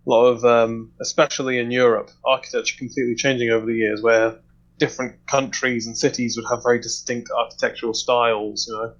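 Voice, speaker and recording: A man explaining his thoughts in a measured way in a casual discussion, recorded over a lo-fi voice chat. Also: mid-range voice, level tone, a bit bright.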